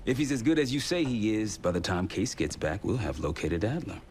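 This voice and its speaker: An actor playing a grave, determined intelligence operative in a game cutscene, speaking in measured, clipped phrases. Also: male voice, lowish voice, expressive, a little mellow, slight background noise, natural voice. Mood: businesslike. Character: grave, determined male intelligence operative briefing his team. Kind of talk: scripted game cutscene narration and dialogue. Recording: music behind the voice. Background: music.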